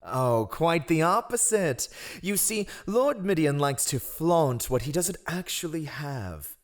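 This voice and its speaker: A sly and ruthless royal spy master , played by a male voice actor, in a fantasy audio drama. His silky, formal speech swings widely in pitch, sardonic and mockingly courteous. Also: very animated, takes it slowly. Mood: sly, assured. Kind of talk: fantasy audio roleplay acting.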